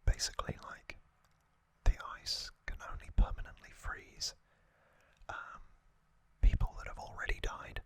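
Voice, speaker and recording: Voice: unhurried, fairly bright tone. An ASMR host whispering a recap in a conversational, slightly hesitant way.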